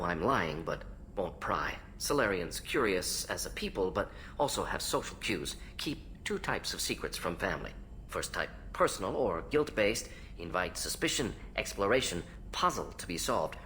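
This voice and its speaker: Clipped, lively alien scientist, low-voiced. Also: male voice, normal speed, slight background noise. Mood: reflective, guarded. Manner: clipped telegraphic phrases, clinical, omits pronouns. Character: fast-talking, analytical alien scientist, proud and ageing uncle. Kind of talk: video game dialogue acting.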